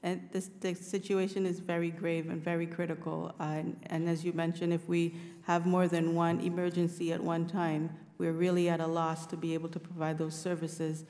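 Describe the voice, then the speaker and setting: A middle-aged woman giving formal testimony as a witness, measured and earnest, phrasing things carefully. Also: on the low side, completely flat delivery, warm tone. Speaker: witness at a congressional hearing. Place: large indoor committee hearing room. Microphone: table microphone. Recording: echoey hearing room, long reverb.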